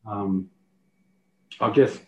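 A man from Australia with an Australian accent, speaking softly and hesitantly in a casual interview, with many ums. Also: lowish voice, extremely slow. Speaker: rugby league player, guest. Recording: roomy, muffled, faint hiss.

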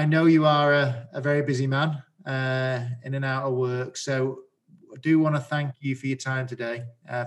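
A man hosting a podcast interview, asking questions while stumbling and repeating words. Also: mid-range voice. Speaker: podcast host. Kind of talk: casual interview.

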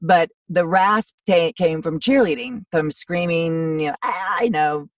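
A woman in her fifties with a low, raspy, gravelly voice talks loosely and at length, laughing at herself as she goes. She sounds a little back from the microphone in a dry, dead room. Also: measured pace, no echo, distant mic. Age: 50s. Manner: chatty, rambling, self-deprecating. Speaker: voiceover actor guest. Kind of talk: casual podcast interview.

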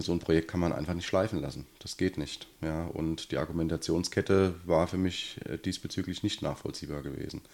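A very deep-voiced man in his forties talks as a podcast guest. He speaks in a measured way and pauses often with fillers. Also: a little mellow. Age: 40s. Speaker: city council member, guest. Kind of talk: podcast interview.

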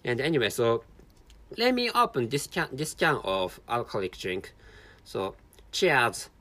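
A man's voice: mid-range voice, wildly swooping pitch, unhurried, pushed and bright, a little hiss or hum. A tired man from Japan speaking English with a Japanese accent as a non-native speaker, hosting a casual solo monologue with many restarts and repeated words. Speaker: podcast host.

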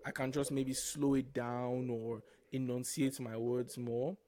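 A man's voice: mid-range voice, level tone, a little slow, dark tone, dry recording. Young Nigerian man, dry close sound. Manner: reflective, repeats and restarts words. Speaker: podcast co-host. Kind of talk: casual faith-based podcast chat.

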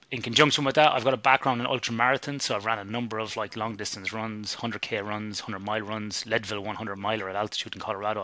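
A man's voice: fairly low pitch, bright, forceful tone, no room sound. A middle-aged Irish man, a sleep researcher, chatting casually as a podcast guest, swearing and laughing easily. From Ireland.

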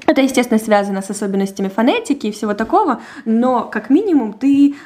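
A woman's voice: a little high, expressive, fast, warm tone. A woman co-hosting a podcast, chatting casually and animatedly, laughing often as she talks. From Russian- and Ukrainian-speaking. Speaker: podcast co-host. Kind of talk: casual chat.

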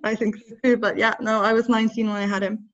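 Halting Norwegian woman, muffled audio. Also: on the high side, little pitch movement. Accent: Norwegian mixed with Bristol English. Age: 30s. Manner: hesitant, halting, laughs often. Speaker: hair and makeup educator. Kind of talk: interview guest. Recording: dull lo-fi recording.

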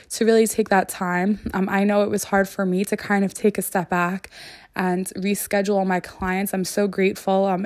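A woman's voice: mid-range voice, darkish tone, no room sound, studio quality. A woman hosting a podcast solo in a casual, earnest, conversational way, peppered with "like" and "you know", her voice not quite back to normal after being sick. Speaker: podcast host.

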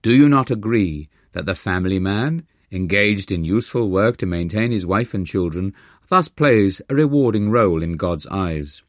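British man reading as a grave, low-voiced guru. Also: expressive, no room sound, studio quality. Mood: probing, deliberate. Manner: grave, admonishing, deliberate. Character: stern, wise Indian male guru teaching his disciple.

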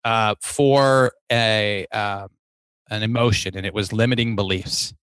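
A man's voice: fairly low pitch, steady tone, measured pace, fairly bright tone, clean tone, dry recording. A man hosting a podcast interview, talkative and enthusiastic, rambling a little as he sets up questions. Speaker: podcast host. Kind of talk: casual interview chat.

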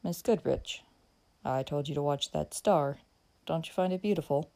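Woman reading as a calm, creaky-voiced protective gentleman. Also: lowish voice, moderate pace. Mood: cool. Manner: steady, matter-of-fact, curt.

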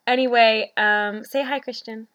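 Chatty higher-voiced woman host. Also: fairly even intonation, measured pace, fairly bright tone, no room sound. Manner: chatty, upbeat, giggly, many fillers. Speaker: podcast host. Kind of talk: casual podcast interview.

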